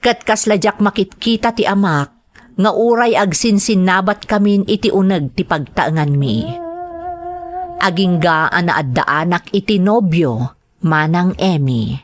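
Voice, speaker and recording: A woman's voice: lowish voice, measured pace, close to an everyday voice. A woman playing a hurt, resentful young adult elder sister in a radio drama, serious and emotional. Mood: regretful, confiding.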